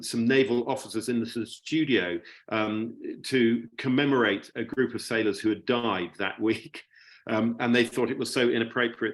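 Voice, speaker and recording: A man speaking as a guest, giving an informal, reminiscing talk in a halting way with repeated words. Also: mid-range voice, rough recording. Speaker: guest speaker, writer and television producer.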